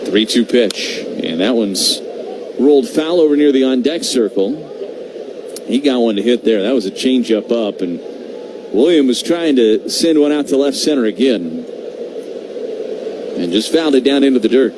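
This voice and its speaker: Male baseball radio announcer, mid-pitched, conversational yet excitable, clear stadium noise behind. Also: normal speed, pauses often. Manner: excited home-team calls, conversational between pitches. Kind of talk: live radio play-by-play commentary. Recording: noisy live radio broadcast feed.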